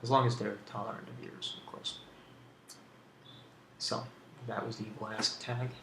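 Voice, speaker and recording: Low, gravelly man, halting ASMR chat. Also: flat delivery. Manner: hesitant, halting, rambling. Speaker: video host. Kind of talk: casual ASMR question-and-answer. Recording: roomy, hissy amateur home recording.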